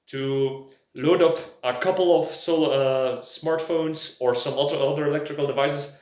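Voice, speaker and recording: An English-speaking man gives a casual product review, hesitantly searching for words. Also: a little high. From Belgium. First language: not English. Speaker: prepper video host. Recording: echoey room.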